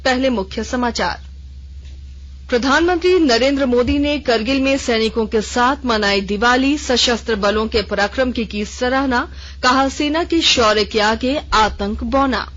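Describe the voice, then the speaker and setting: A woman newsreader with a somewhat high voice who reads a bulletin in a formal, even, measured way. The sound is muffled and lo-fi, like an old radio broadcast. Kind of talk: read news bulletin.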